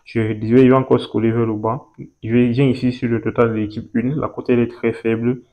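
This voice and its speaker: A man, talking through a screen-recorded walkthrough, repeating himself and pausing as he points things out. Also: mid-range voice, flat delivery, highs cut off. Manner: repetitive and hesitant, points at things with "ici, là". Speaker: betting tutorial host.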